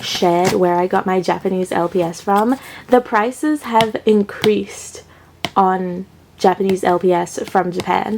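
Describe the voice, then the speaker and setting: A chatty woman in her thirties, her voice stuffy and a bit congested from a cold. She talks casually and warmly to her viewers in an upbeat way, full of "like" fillers. Her pitch sits in the middle range for a woman. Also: slight background noise. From Australia. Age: 30s. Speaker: collector vlogger. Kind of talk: casual unboxing vlog.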